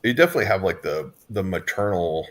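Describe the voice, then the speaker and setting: A man with a very low, deep voice, co-hosting a podcast in casual chat. He talks in a relaxed, laid-back way and rambles a little as he tells his story. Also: fast talker. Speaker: podcast co-host, hypnotist.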